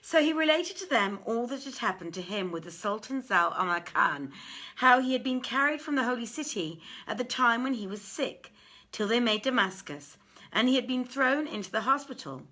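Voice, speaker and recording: A woman reading an old literary tale aloud in a theatrical, measured and deliberate manner. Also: a little high, lively intonation, bright, forceful tone. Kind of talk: dramatic literary story reading.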